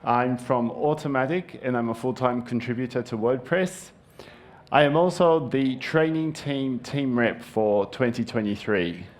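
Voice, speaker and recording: A young man whose first language is Japanese stands at a conference podium and talks earnestly about a subject he cares for. His voice sits in an ordinary middle register. He fills pauses with relaxed ums and keeps the tone friendly. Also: mid-range voice. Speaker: conference speaker. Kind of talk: conference presentation. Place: conference hall.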